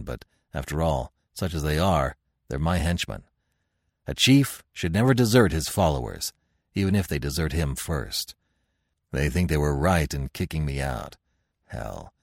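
A man voicing a fierce barbarian chief who speaks low and bluntly. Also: dry recording, studio quality, heavily acted.

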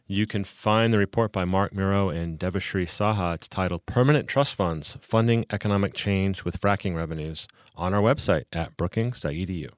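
Male interviewer and podcast host, deep voice, dry recording. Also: normal speed, few pauses, no room sound.

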